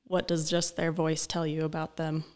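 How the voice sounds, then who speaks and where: A young woman, a speech-language pathologist, explaining her work in a relaxed interview, often pausing with "um," recorded on a studio desk mic. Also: low-pitched, clean tone. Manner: explanatory, thoughtful, frequent um fillers. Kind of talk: conversational interview. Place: small studio office. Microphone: desk-mounted broadcast mic.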